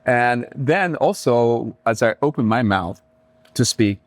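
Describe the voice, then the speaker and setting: A middle-aged man from Jamaica talking reflectively as an interview guest, pausing often mid-thought. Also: medium pitch, expressive, no echo. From Kingston, Jamaica. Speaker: restaurateur and community leader, podcast guest.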